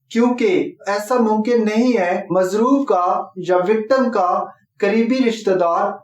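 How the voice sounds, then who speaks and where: Male law lecturer, Pakistani accent, high voice, formal instructive delivery, echoey room. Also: a little mellow. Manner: formal, instructive, mixes in English legal terms. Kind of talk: explanatory legal lecture.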